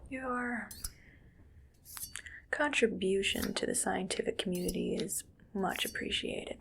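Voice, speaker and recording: A cold female mad scientist played by a woman murmurs in whispers with a creaky edge. She is clinical and deliberate, calculating in a quietly menacing way, in an intimate ASMR roleplay. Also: medium pitch. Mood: calmly menacing.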